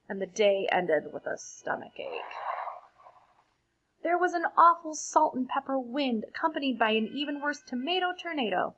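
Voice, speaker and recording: A woman reading a storybook aloud expressively for children, like a teacher pausing to explain things to young listeners. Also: medium pitch, a bit bright, husky, lo-fi sound.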